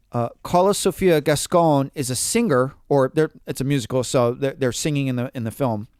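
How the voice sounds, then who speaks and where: Male podcast co-host, animated talker, crisp voice, dry close-miked sound. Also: a little high, no echo. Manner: animated, quotes people in acted asides. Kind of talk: casual podcast discussion.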